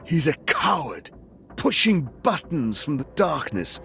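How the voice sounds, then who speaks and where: A man's voice: a little high, sing-song, measured pace, creaky, bright, forceful tone, faint music behind, exaggerated voice. A man playing a proud, wrathful Sith lord villain in a video game, with a haughty, menacing, theatrical delivery. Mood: contemptuous menace. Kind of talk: dramatic video game acting.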